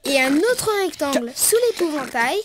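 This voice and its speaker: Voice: high-pitched, lively intonation, normal speed, a little hiss or hum. An actor voicing a kind, reassuring childlike character who teaches drawing in a preschool cartoon. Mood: instructive, upbeat. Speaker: drawing tutorial host. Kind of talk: preschool cartoon dialogue and tutorial. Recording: loud music behind the voice.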